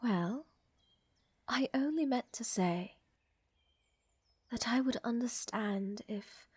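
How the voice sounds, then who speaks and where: A woman plays a refined vampire countess, gently dominant and devoted to her lover. Her voice sits a little high, soft and very breathy, often slipping into a whisper. She takes her time with every phrase, close to the microphone in an ASMR roleplay. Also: animated, slow, no echo, heavily acted. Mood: wistful, earnest. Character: tender, seductive female vampire countess, protective lover. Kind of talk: intimate ASMR audio roleplay. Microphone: close, dry microphone.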